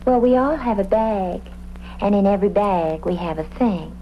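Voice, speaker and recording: A dreamy hippie florist played by a woman in an old radio drama. She gushes in whimsical, flowery phrases at a medium pitch. The recording is muffled, with a faint layer of noise. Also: moderate pace, natural voice. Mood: airy, insistent. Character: airy, dreamy hippie flower-shop woman with theatrical flourishes. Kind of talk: comedic radio police drama acting.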